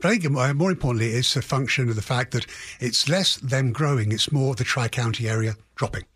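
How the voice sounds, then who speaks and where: A man speaking as an expert guest in a radio interview, analysing in a halting way with qualifiers and emphatic restatements. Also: mid-range voice, a bit bright, slightly rough, no echo. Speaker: housing economist guest.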